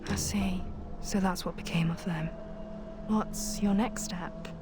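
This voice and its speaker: Low-voiced, guarded protector. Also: female voice, fairly even intonation, slow pace, background noise. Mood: concerned, cautioning. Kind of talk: video game cutscene acting.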